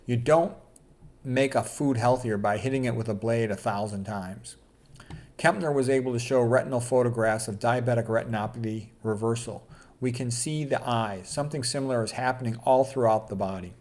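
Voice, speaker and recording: A man's voice: medium pitch, normal speed, a little mellow. A man lecturing informally as a doctor, reading out quotes and adding blunt, opinionated, colloquial commentary. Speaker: doctor presenting a health lecture.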